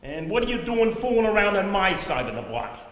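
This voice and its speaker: A grouchy old man played by an actor with a high, animated voice on a theatre stage. He is gruff, threatening and full of mean-spirited badgering. The sound is roomy, like a hall. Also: fairly quick. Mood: menacing. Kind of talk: live one-act stage play acting. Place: indoor theatre stage, recorded from a distance. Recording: echoey hall stage recording with faint noise.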